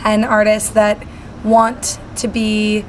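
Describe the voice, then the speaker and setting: A woman's voice: medium pitch, monotone, slow pace, slight background noise. A young woman singer-songwriter from Salt Lake City chatting casually in an interview, bubbly and full of fillers. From Salt Lake City, Utah.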